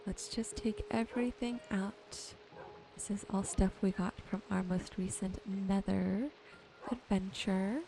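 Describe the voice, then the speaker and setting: Slow, soft-spoken woman doing gaming ASMR. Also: mid-range voice, fairly even intonation, vocal fry, rough recording. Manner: soft-spoken, gentle musing to herself while sorting. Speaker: gaming video host. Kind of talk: ASMR gameplay commentary.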